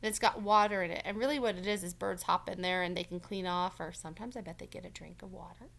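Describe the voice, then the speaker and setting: A woman's voice: on the low side, fast, creaky, a bit bright. A woman reading a storybook aloud as a teacher, encouraging and stopping to check in with young listeners. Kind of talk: storybook read-aloud with questions to children.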